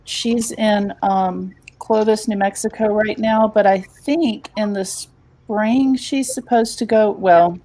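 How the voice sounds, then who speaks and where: A woman's voice: mid-range voice, steady tone, slow pace, dark tone, poor audio. A woman in her forties chatting casually with friends, telling a rambling family story. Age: 40s. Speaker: painting group member. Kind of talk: casual chat.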